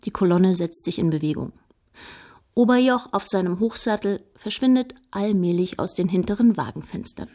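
A woman's voice: mid-range voice, expressive, normal speed, mellow. An actress playing an observant female historian, narrating in a precise, dryly descriptive manner for a radio drama.

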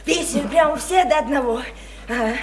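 A woman's voice: high-pitched, normal speed, natural voice. An actress in a radio drama playing a naive, sickly, chatty small-town woman who rambles on in simple, insistent folksy speech. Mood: chatty, artless. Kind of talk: stage-style radio drama acting. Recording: roomy echo, faint noise.

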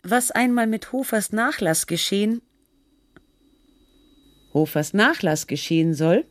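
A woman's voice: mid-range voice, expressive, unhurried, slight background noise, lightly acted. A woman playing a sober, literary narrator and researcher in scripted documentary-style radio drama. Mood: measured, factual.

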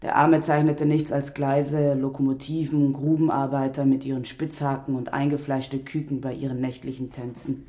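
A man's voice: mid-range voice, flat delivery, moderate pace, dark tone. A man reading scripted literary narration as a storyteller.

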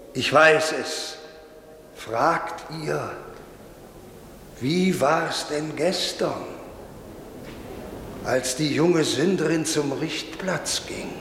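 A solemn old priest preaches sternly and extremely slowly, with weighty pauses. It is an old radio drama recording, noisy, with a long hall reverb around the voice. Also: male voice, on the high side. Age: older. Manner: measured, declamatory, rhetorical pauses. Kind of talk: 1950s German radio drama sermon. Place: large reverberant space.

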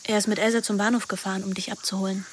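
An actress playing a wry, restless teenage daughter who narrates a literary radio play in an intimate, introspective manner. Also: female voice, medium pitch, little pitch movement, rapid-fire, a little hiss or hum, close to an everyday voice. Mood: matter-of-fact. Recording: music behind the voice.

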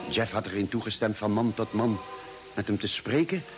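A man's voice: lowish voice, animated, moderate pace, lightly acted. A man acting in a radio play as a space crewman, narrating the expedition in a scripted dramatic style. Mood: grave recounting. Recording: old radio broadcast. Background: music.

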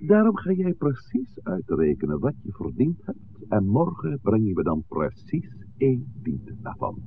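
An actor playing a stern, shrewd village priest who scolds in a measured, formal way in a 1960s radio play. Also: male voice, on the low side, wildly swooping pitch, heavily acted. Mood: shrewd, firm. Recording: tinny, noisy old radio recording.

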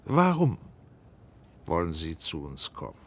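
An actor playing an earnest, old-fashioned reservation dweller in a science-fiction radio drama, speaking formally and deliberately, like a guide explaining things. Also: male voice, rumbling low, huge pitch swings, heavily acted. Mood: guarded, matter-of-fact.

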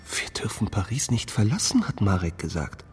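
Bitter, obsessive man with swinging pitch. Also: very animated, moderate pace. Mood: wounded, bitter. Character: bitter, obsessive man jealously claiming a lost limb. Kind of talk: radio crime drama acting.

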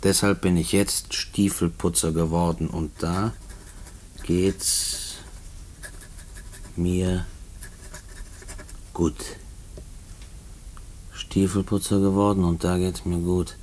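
Brooding prisoner, very low and slow, halting delivery, radio drama with faint noise. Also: male voice, flat delivery, darkish tone. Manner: halting, brooding monologue, spelling out words as he writes. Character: restless male prisoner writing hopeful letters to his mother. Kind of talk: German radio play acting.

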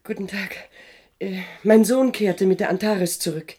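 A woman's voice: medium pitch, unhurried, warm tone, lightly acted. A woman playing a timid, anxious mother in a radio drama, speaking politely, hesitantly and deferentially.

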